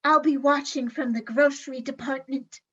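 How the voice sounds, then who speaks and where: A woman's voice: high-pitched, steady tone, normal speed, fairly bright tone. An actress playing a bashful, naive, lovesick young woman in an old-time radio comedy, timid and plaintive, on slightly dull audio. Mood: nervous, earnest.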